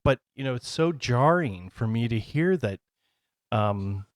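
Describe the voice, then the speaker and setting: A man interviewing a band in loose, casual chat. His voice is loud and carries, and his talk is excitable and rambling, full of restarts. His pitch sits in a middle range. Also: unhurried. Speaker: radio show host interviewer.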